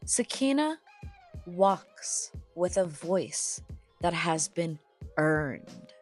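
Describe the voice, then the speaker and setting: Slow, solemn low-voiced woman host. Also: lively intonation, soft background music. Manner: slow, deliberate, solemn delivery. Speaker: podcast host. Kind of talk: scripted poetic introduction and interview questions.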